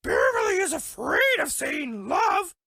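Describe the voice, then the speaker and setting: Squealing, gravelly girl bear. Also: high-pitched, huge pitch swings, a little slow, fairly bright tone, no room sound, studio quality, heavily acted. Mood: lovestruck. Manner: broken third-person English, dramatic and gushing. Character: sweet dramatic lovestruck female bear, talking in broken English. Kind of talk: cartoon dating game character lines.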